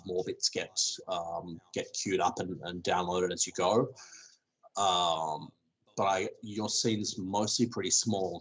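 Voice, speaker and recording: A man with a low, husky voice answers questions in a relaxed technical chat. He is losing his voice and talks in stops and starts, full of ums and restarts as he thinks aloud. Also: rough recording. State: losing his voice, clearing throat. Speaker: developer answering community questions. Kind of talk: casual technical Q&A.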